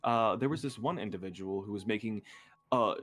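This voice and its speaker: A man with a fairly low voice talks informally as a guest on a livestream. He speaks hesitantly, breaking his thoughts into short pieces, with faint music playing behind him. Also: brisk, a bit bright. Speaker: guest content creator. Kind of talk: casual livestream chat, personal anecdotes.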